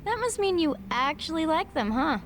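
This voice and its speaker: High, coy, teasing bar hostess. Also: female voice, sing-song, normal speed, slight background noise. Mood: playful, curious. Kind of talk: dubbed video game cutscene acting.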